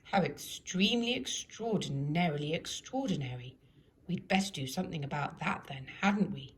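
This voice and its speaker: A woman's voice: low voice, lively intonation, moderate pace, a little hiss or hum. Woman voicing an emphatic wise old storybook wizard. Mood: brisk, curious. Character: wise old male wizard, brisk and commanding. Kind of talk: storybook character voice.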